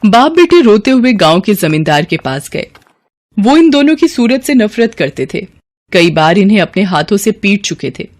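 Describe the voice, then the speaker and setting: A woman's voice: mid-range voice, very animated, moderate pace, darkish tone. A woman reading scripted literary story narration as the narrator.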